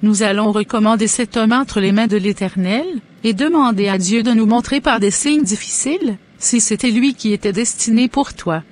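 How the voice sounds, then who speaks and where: A woman playing a pious, joyful middle-aged mother in an animated religious story, speaking in solemn, formal blessings. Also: a little high, normal speed, natural voice. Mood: glad, careful.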